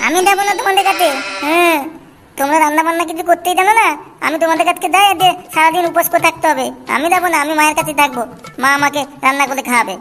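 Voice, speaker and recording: A man voicing a defiant young boy in an amateur comedy cartoon, whiny and insistent, with artificially raised pitch. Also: racing, sharp tone. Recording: reverberant track with faint music. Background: faint music.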